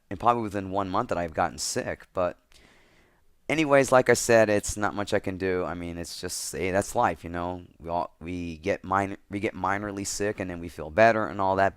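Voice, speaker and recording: A man with a slightly hoarse voice, giving casual football commentary as a picks vlogger and rambling, restarting his sentences often. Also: on the low side, no echo, clean studio sound.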